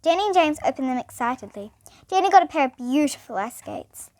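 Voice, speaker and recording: Child storybook reader, female: high, lively, expressive bedtime narration with small stumbles. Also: a little fast, a little hiss or hum.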